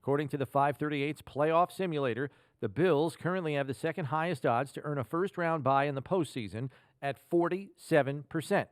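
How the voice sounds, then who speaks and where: A man hosting a sports podcast, explaining football scenarios in a measured, deliberate way. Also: on the high side, dry recording, clean studio sound. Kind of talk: football analysis talk.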